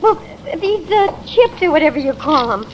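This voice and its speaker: An actress playing a fretful, simple young American wife in a 1950s radio drama, speaking breathlessly and colloquially. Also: female voice, high voice, big pitch swings, normal speed. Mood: frightened. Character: anxious, simple, devoted young wife of an ex-con. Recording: hissy vintage radio recording.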